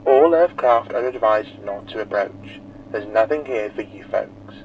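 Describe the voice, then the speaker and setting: A man's voice: fairly low pitch, measured pace. A man voicing a dry, formal spacecraft crewman reading out a matter-of-fact warning through a radio effect. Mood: flat official. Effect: radio transmission filter. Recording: muffled, noisy radio message.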